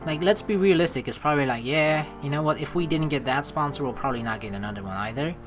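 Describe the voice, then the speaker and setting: A woman's voice: deep voice, quick pace. A woman doing casual let's play commentary, dramatic and sweary, reading game text aloud and improvising replies. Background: game music.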